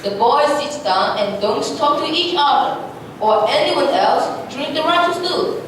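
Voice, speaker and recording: A woman with a high voice reads scripted narration for a reader's theatre piece. She is recorded from a distance in a very echoey room, with clear noise behind her. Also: expressive, measured pace.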